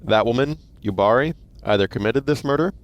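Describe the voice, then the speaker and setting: A man's voice: medium pitch, brisk, lightly acted. A man playing a blunt starship officer, decisive and direct.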